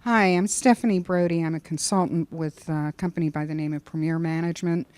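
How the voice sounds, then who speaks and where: A woman's voice: sits low, a little mellow, no echo. A woman consultant in the audience asking questions at an industry briefing, direct and apologetic.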